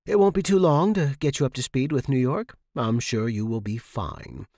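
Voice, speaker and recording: A man's voice: medium pitch, normal speed, warm tone, dry recording, clean studio sound, natural voice. A man voicing a stern, bored female housemate who speaks in a curt, insistent way in a narrated story. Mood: reassuring.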